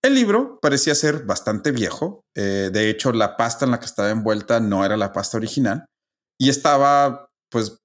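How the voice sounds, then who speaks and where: A man's voice: mid-range voice, very animated, moderate pace. A man with a Mexican accent hosting a podcast, telling a story conversationally with asides and checking tag questions. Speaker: podcast host. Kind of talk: casual storytelling with friends.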